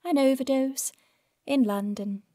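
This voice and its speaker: A woman's voice: high-pitched, measured pace, dark tone, dry recording, clean studio sound. An actress playing a narrator in an audio drama, a woman who prods and challenges with pointed interjections. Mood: challenging.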